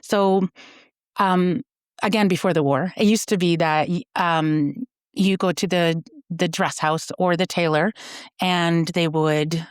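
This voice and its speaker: A woman's voice: fairly low pitch, steady tone, slow pace, clean tone, no room sound, clean studio sound. A woman from Canada, a company founder, explaining her work earnestly in a conversational interview, with frequent fillers and restarts.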